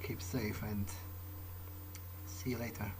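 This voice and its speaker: A man doing a casual in-character gaming monologue, musing in a low-key, hesitant way. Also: fairly even intonation, slow, background noise. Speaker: gamer roleplaying in character.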